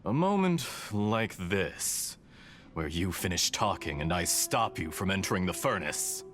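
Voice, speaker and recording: An actor playing a suave, mocking villain in a video game, theatrically polite, smug and sly. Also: male voice, low-pitched, sing-song, measured pace, fairly bright tone, full character voice. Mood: smug, taunting. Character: suave, mocking male villain posing as mechanic. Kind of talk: dramatic video game voice acting. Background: background music.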